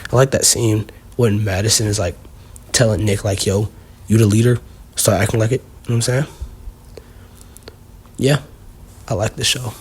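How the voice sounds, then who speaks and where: Low husky-voiced man rambling casually. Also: fairly even intonation, moderate pace, long pauses, darkish tone. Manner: rambling, heavy on fillers like "you know". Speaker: video host. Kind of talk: casual countdown commentary.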